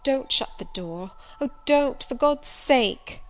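A woman's voice: medium pitch, normal speed, close to an everyday voice. A woman voicing an exhausted young female wanderer in an audiobook, desperate, earnest and imploring. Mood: pleading, desperate. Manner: imploring, formal wording. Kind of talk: audiobook character voice.

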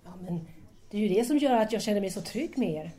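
A woman's voice: medium pitch, expressive, warm tone, natural voice. A woman playing an eager, admiring young student in an audio drama, curious and deferential. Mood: trusting, curious.

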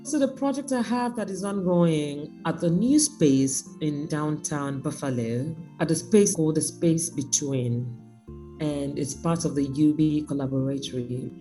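A woman artist speaking by phone in an interview, reflective and sometimes joking, with lively emphasis. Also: low-pitched, unhurried, soft background music, rough recording. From Nigeria.

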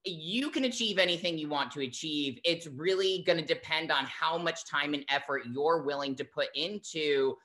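A fitness coach with a bright, forceful voice, chatting as a guest in a casual interview. They talk in an animated way, full of fillers and quick asides. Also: mid-range voice. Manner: animated, uses lots of fillers like um and like.

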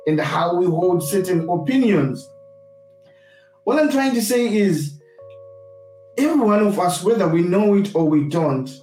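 A man from Zimbabwe with a Zimbabwean accent gives a reflective, sermon-like talk, deliberately restating his points for emphasis. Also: high voice, mellow. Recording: roomy echo, distant mic, music behind.

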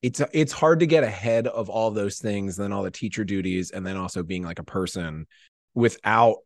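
A man's voice: fairly low pitch, moderate pace, no echo, studio quality. A man chatting casually as a podcast guest, rambling and self-deprecating, with lots of "like" in his talk. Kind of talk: casual chat, anecdotes.

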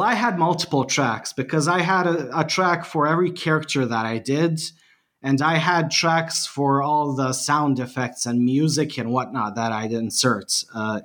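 A man's voice: medium pitch, fairly bright tone. A man from Bosnia and Herzegovina, a podcast guest chatting animatedly and mocking himself with frequent laughs. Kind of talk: casual interview chat.

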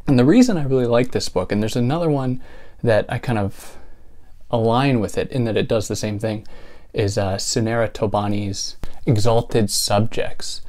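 A man's voice: medium pitch, moderate pace, a little mellow. A young man hosting his own video channel, talking casually and thoughtfully about books, with a soothing voice and many fillers. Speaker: book channel host. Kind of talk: casual vlog talk.

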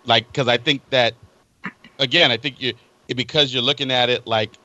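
A man in a casual group chat who argues his point step by step, in a halting way. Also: medium pitch, steady tone, bright, forceful tone. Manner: halting, lays out arguments step by step. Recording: dull lo-fi recording.

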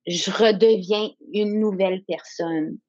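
A woman in her thirties whose first language is English talks slowly and reflectively as an interview guest, often pausing to find her words. Her voice comes through a dull, lo-fi recording. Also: mid-range voice, fairly even intonation, darkish tone. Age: 30s. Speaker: guest entrepreneur. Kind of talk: candid conversational interview.

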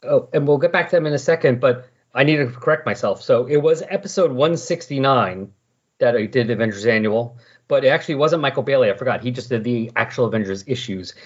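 A middle-aged man with a slightly husky voice hosting a casual comic book discussion in a chatty, explanatory way. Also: medium pitch, brisk. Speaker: podcast host. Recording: dull lo-fi voice recording.

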